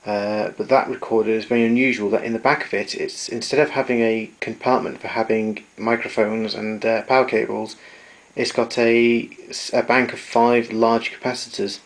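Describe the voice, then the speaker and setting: A man narrating a casual show-and-tell of his tape recorders, explaining step by step with pauses and fillers. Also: medium pitch, little pitch movement.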